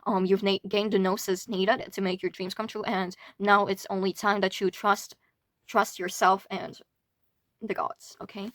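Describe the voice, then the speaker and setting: A woman's voice: medium pitch, little pitch movement, a little slow, a bit bright. A young adult woman from Latvia giving a casual tarot reading, talking chattily with frequent restarts and the odd swear word. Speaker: tarot reader.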